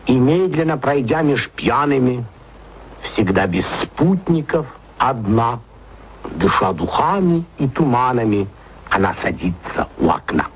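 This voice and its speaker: A man giving a formal literary radio talk in a measured, declamatory, rhetorical manner, heard on an old archival recording. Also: medium pitch, very animated, slight background noise, low-quality recording. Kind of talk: formal literary radio lecture with recited verse.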